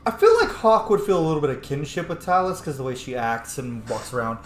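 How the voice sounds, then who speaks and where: Animated game streamer musing aloud, roomy. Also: medium pitch, fast talker. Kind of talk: casual let's-play commentary. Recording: roomy echo, faint game music behind. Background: game music.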